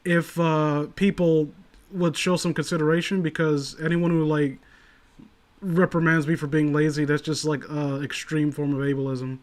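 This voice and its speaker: A man with a somewhat high voice talks casually as host of an online group call. He rambles through his opinions in an informal, profane way. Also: little pitch movement, moderate pace. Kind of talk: casual chat, opinionated commentary.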